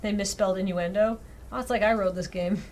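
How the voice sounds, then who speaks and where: A woman's voice: medium pitch, fairly even intonation, a little fast, darkish tone. A woman streaming a game, reading a story aloud expressively and dropping in wry, self-deprecating casual asides. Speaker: streamer reading out a dating sim visual novel. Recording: clearly audible background noise.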